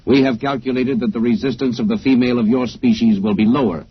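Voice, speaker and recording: An actor playing a coldly logical alien captor in a 1950s radio drama, speaking in formal, stilted, unemotional phrases. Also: male voice, mid-range voice, steady tone, quick pace, husky, dry recording, natural voice. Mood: flat, logical. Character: coldly logical male alien captor interrogating a human prisoner. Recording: muffled old radio broadcast recording.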